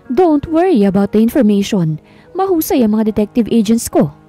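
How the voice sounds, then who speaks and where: A woman puts on a rich older father who speaks with firm, unbending authority, leaning into each order. The voice sits a little high and soft-toned, with gentle music faint behind it. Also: big pitch swings, moderate pace. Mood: commanding. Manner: authoritative, insistent. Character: wealthy older man, commanding grandfather-to-be.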